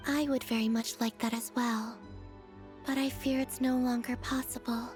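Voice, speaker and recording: Lady as soft airy moon goddess in a game, highish voice, gentle n kinda naive, talks formal and measured. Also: little pitch movement, close to an everyday voice. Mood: wistful, calm. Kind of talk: video game character acting. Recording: faint music behind the voice. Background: game music.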